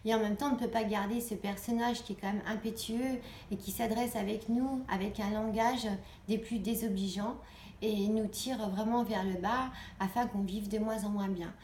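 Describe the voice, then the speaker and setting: A woman's voice: medium pitch, steady tone, moderate pace, a little mellow. A woman gives an informal political address to viewers, earnest and exhorting, repeating phrases for emphasis. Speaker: online video host. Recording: echoey room, clear background noise.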